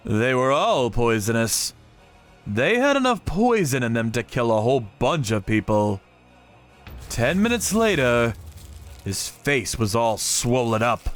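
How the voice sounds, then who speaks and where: A man's voice: mid-range voice, very animated, a little slow, soft background music. A man narrating a dramatic story in the first person with theatrical delivery. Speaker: narrator of a manga channel story.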